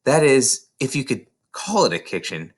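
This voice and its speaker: A man's voice: mid-range voice, very animated, normal speed. A man reading a children's novel aloud as scripted narration. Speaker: storybook reader.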